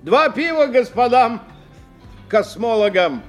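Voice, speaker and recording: An actor playing a blunt, earthy skeptic who talks in a gruffly familiar, colloquial way in a radio play. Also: male voice, very high-pitched, very animated, takes it slowly, soft background music, exaggerated voice. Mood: bluff, musing. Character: blunt, earthy man, skeptical drinking companion. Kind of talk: 1990 radio play acting.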